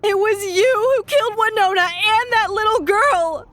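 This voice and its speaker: A woman voicing a young woman in a fan audio drama, shrill and emotional. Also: unhurried, fairly bright tone, no room sound. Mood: furious. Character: young woman, frightened and furious.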